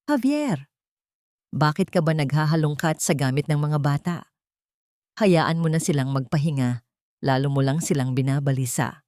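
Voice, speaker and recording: A woman's voice: low-pitched, expressive, moderate pace, long pauses, darkish tone, dry recording. A manipulative wealthy wife speaks in a honey-sweet voice that is raised and pleading as she plays the victim, put on by a woman narrator. Her tone is syrupy and self-pitying. The audio-drama recording is dry and close. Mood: coaxing. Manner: wounded, self-pitying pleading mixed with English.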